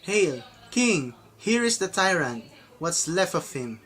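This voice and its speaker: A man's voice: high voice, sing-song, slow, a bit bright, heavily acted. A man playing a triumphant, loyal warrior lord, proclaiming in an amateur Shakespeare radio drama. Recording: clear ambient noise behind the voice.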